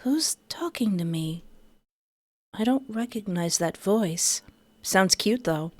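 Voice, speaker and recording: A woman's voice: mid-range voice, animated, takes it slowly, dry recording. A young woman reading a visual novel aloud and breaking in with playful, joking asides. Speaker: game streamer.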